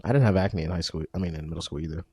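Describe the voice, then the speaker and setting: A man in his twenties hosting a podcast, talking casually in an animated way with frequent laughs and casual swearing. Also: low voice, rapid-fire, husky, dry recording. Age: 20s. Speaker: podcast host. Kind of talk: casual chat. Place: apartment room.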